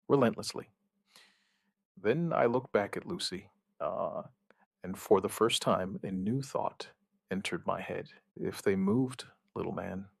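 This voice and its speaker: Deep male narrator, creaky edge, dry close sound, grave measured phrasing, first-person horror account. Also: no echo. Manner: grave, measured, in short clipped phrases with dramatic pauses.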